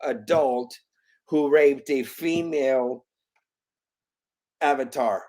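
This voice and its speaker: A man in his late fifties, a guest in an interview, talking earnestly in halting bursts with many restarts. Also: medium pitch, darkish tone. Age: 50s. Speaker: guest, parent. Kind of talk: conversational interview.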